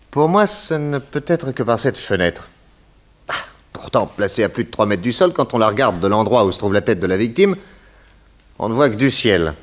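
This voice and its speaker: A man playing a shrewd, courteous private detective in a radio serial, speaking in a deliberate, inquisitive, polite manner. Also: fairly low pitch, lightly acted. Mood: investigating, curious. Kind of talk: old French radio detective serial acting.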